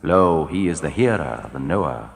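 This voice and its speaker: A man reading translated scripture in a solemn, measured, declamatory style, letting his phrases fall at the end. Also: rumbling low, lively intonation, creaky, a little hiss or hum. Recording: long hall reverb.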